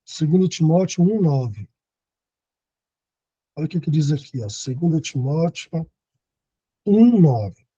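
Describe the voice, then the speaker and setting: A man in his thirties teaching an online Bible lesson, reading verses aloud and then unpacking them. His voice sits fairly high, with a mellow, husky tone. The audio is dry and dull, like a lo-fi video call. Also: expressive, a little slow, long pauses, no room sound. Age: 30s. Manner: explanatory, reads scripture aloud and comments.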